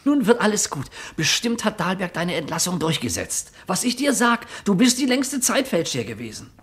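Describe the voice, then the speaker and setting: Eager optimistic friend, young male musician: excitable radio drama delivery, swooping intonation, raspy-breathy voice. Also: high-pitched, moderate pace, full character voice. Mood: excited, urgent. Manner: excitable, encouraging.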